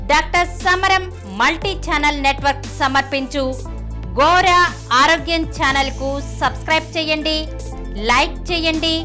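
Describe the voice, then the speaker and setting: A woman's voice: high voice, pushed and bright. A woman delivering a scripted promotional announcement for a channel.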